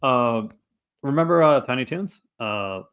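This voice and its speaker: A man's voice: medium pitch, expressive, unhurried, a bit bright, clean tone. Guy rambling on a casual stream, mid voice, laughing a lot, slips into little character voices. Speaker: co-host. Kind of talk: casual live-stream chat. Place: home setup for a live stream. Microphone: desk mic for a live stream.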